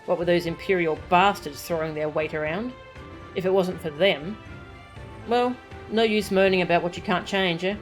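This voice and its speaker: A woman's voice: lowish voice, moderate pace, faint music behind, lightly acted. A woman reading the lines of a male pirate sailor who reminisces about an old ship. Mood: reminiscing.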